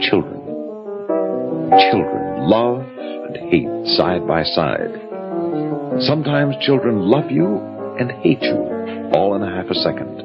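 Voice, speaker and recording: An actor narrating an eerie radio drama as a brooding, deliberate, suspenseful storyteller. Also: male voice, on the low side. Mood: brooding, musing. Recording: dull old radio recording, loud music behind. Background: music.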